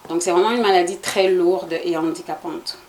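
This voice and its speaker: A woman's voice: fairly low pitch, fairly even intonation, normal speed, darkish tone, poor audio. A woman from Congo in her forties, answering interview questions in a hesitant, explanatory way with many fillers. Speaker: guest, association president.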